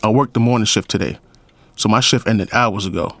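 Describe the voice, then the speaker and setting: A man's voice: lowish voice, brisk, fairly bright tone, no echo. A man playing a lonely, wry, middle-aged police captain who narrates his story in an audio drama. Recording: faint music behind the voice.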